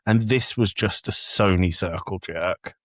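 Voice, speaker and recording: A man's voice: sits low, unhurried, a bit bright, dry recording, studio quality. A man co-hosting a podcast, talking casually as he reviews things. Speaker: podcast co-host.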